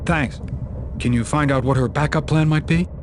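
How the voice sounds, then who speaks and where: An actor playing a cool, confident, wisecracking secret agent hero in a video game. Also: male voice, a little high, normal speed, slight background noise, close to an everyday voice. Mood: focused.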